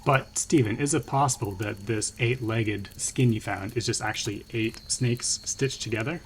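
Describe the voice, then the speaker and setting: A man's voice: medium pitch, moderate pace, a little hiss or hum. A man co-hosting a comedy podcast, playfully narrating scene cuts in an improvised story.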